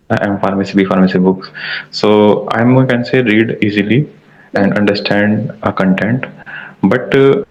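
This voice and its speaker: A young Indian man whose first language is Hindi, speaking English in a halting way, repeating words as he searches for phrasing. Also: fairly low pitch. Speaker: English learner in a practice conversation. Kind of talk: casual practice conversation. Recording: dull lo-fi audio.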